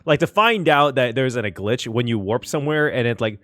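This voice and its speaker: A man hosting a casual reaction video on a game story, excitable and given to repeating phrases for emphasis. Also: mid-range voice, a little fast, no echo.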